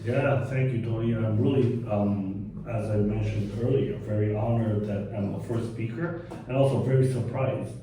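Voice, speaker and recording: A man being interviewed in his office about his company, relaxed, explaining plainly and often repeating words. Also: lowish voice, normal speed, heavy reverb, distant mic, crowd noise, poor audio. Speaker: company founder, interview guest. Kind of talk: casual interview.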